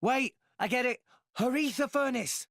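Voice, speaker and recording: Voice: high-pitched, normal speed, gravelly, fairly bright tone, no echo, studio quality. An actor playing a curious young companion in a game, speaking in a plain, conversational way. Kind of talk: voiced game cutscene acting.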